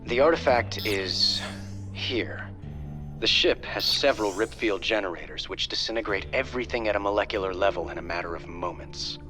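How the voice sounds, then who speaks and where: An actor playing a refined young male heir who briefs in a measured, formal way. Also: fairly low pitch, lively intonation, sharp tone, faint music behind.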